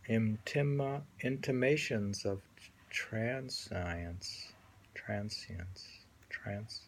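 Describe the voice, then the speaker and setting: Husky, gravelly man reading poems slowly. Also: lowish voice, darkish tone. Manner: halting, repeats phrases, muses aloud. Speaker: reader and host. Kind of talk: reading translated poems aloud with casual commentary.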